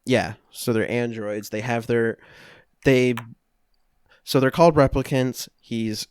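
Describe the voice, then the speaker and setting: Clear-voiced man, casual dry recording. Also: mid-range voice, fairly even intonation, darkish tone, no room sound. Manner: halting, loosely conversational storytelling. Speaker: podcast co-host. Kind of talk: casual film recap among friends.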